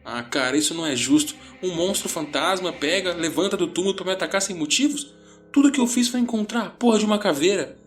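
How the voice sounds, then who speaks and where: A man's voice: fairly high pitch, animated, fast talker, fairly bright tone, faint music behind, pitched above the performer's own voice. The terrified kid in a late-night horror podcast , played by a man, stammering and complaining in a raised voice over a faint score. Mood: frantic. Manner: stammering, ranting. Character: panicked, whiny sixteen-year-old boy, frantic and exasperated. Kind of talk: horror audio story character voice.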